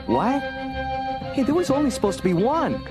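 An actor playing an earnest, excitable young rebel pilot in a 1980s audio drama. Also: male voice, extremely high pitch, moderate pace. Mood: alarmed, urgent. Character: eager young male space pilot, earnest rebel hero. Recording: music behind dramatized audio.

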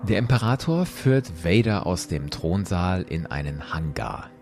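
A man narrating a dramatic story as host, expressive and addressing listeners directly. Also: sits low, a little slow, faint music behind.

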